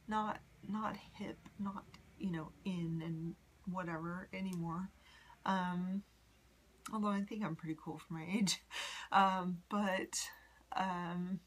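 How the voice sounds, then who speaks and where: A woman in her forties from the United States, rambling in a personal vlog with many ums and soft whispery asides. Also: mid-range voice, a little hiss or hum. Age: 40s. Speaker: vlogger. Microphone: phone.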